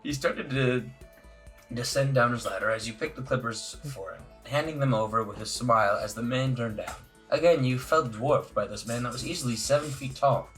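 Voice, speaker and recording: A man's voice: mid-range voice, a bit bright. Man reading a visual novel, music behind. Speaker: let's play host and reader. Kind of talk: casual narration reading a visual novel aloud.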